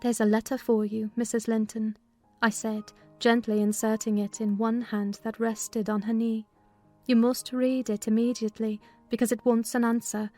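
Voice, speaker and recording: Composed servant storyteller, played by a woman, slightly high, level intonation, measured audiobook drama narration. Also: level tone, dark tone, soft background music, lightly acted. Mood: gently prompting. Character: female housekeeper recounting events, composed and observant servant. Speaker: narrator in a dramatized novel reading. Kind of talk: scripted literary narration.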